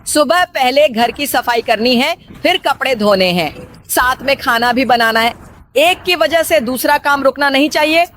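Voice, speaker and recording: Woman acting a middle-aged mother-in-law, bossy, high-pitched, nagging, cartoon story dialogue, faint noise behind. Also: animated, unhurried, a bit bright. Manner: scolding, commanding.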